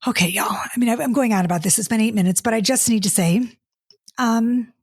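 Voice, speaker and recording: A woman in her fifties hosts her podcast in a loose, exasperated, chatty way, stumbling over restarts as she reads comments aloud and answers them. Her voice sits a little high and carries a slight husky edge. The recording is dry and close, with no room sound. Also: brisk, no echo. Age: 50s. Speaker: podcast host and dating coach. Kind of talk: casual solo podcast chat.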